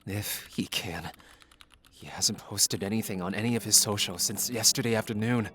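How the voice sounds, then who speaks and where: An actor playing a worried, needling grown son in a modern audio drama. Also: male voice, lowish voice, moderate pace, a bit bright, a little hiss or hum, natural voice.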